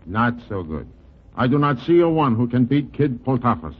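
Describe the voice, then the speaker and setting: An actor playing a scrappy, plain-spoken New York boxing manager with a husky voice in a 1940s radio drama. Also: male voice, medium pitch, big pitch swings, moderate pace. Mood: casual, content. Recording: muffled 1940s radio recording.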